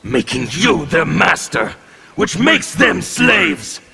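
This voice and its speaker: Gravelly menacing rebel zealot, slow, wildly swinging pitch, snarled game-intro lines over loud noise. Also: male voice, sing-song, heavily acted. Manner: snarling, theatrical, harsh emphatic delivery. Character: menacing male revolutionary zealot, intimidating. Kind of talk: fighting game intro dialogue.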